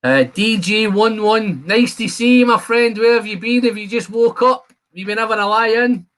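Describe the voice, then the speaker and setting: A man's voice: high-pitched, moderate pace, a bit bright. A man in his fifties with a Scottish accent, chatting casually to viewers as the host of a live stream. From Scotland. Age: 50s. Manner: chatty, addresses viewers by name.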